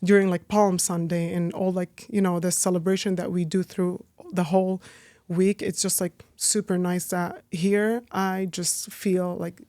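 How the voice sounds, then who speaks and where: A woman's voice: on the low side, flat delivery, a little slow, mellow, dry recording. Chatty Palestinian-born woman, dry sound. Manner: chatty, heavy use of "like" and "you know". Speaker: guest, business owner. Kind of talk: casual interview talk.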